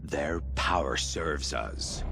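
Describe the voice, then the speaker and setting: A grandiose cult commander from a video game, full of menace. His delivery is slow and gravelly, swinging widely in pitch, with deliberate, weighty phrasing. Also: expressive, over music, exaggerated voice. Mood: exultant menace. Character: menacing cult commander exulting in ancient war machines. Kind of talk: video game cutscene acting.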